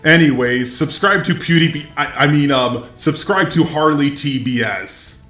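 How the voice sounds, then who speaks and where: A man's voice: on the high side, normal speed, a bit bright, reverberant, faint music behind. A man delivering opinionated drama commentary in a blunt, profane, indignant way. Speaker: commentary YouTuber, host.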